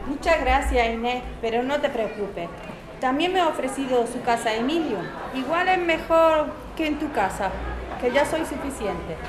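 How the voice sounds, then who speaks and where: A woman's voice: high-pitched, animated, normal speed. A woman playing a stubborn, wry older mother in an audio drama. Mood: grateful, wry. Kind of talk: scripted amateur radio drama. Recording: echoey, noisy crowded room. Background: crowd chatter, ambient noise.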